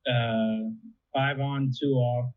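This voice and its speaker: Over a narrow, phone-like connection, a man talks as an interview guest. He explains things casually and conversationally, with an average-pitched voice. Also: steady tone, drawn-out words. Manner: conversational, explains with everyday analogies. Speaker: interviewee explaining air traffic control. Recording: tinny narrowband phone-like line.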